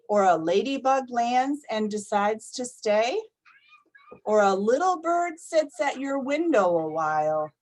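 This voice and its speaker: A woman reading picture books aloud to young children, expressive and deliberate, often putting questions to her listeners. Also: fairly high pitch, poor audio. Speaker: storytime reader for young children. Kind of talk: animated picture-book read-aloud to children.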